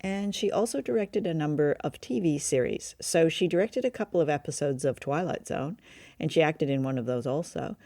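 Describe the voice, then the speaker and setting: Female co-host, mellow tone, dry studio sound, informative but casual chat. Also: deep voice, animated, moderate pace, no room sound. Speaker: co-host of an old-time radio review show.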